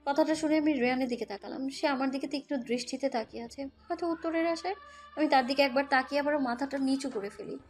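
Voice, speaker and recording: A woman's voice: high-pitched, quick pace. A woman narrating a romantic audio story in the first person, with scripted delivery. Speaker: story narrator, also voicing the heroine. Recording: background music under voice. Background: music.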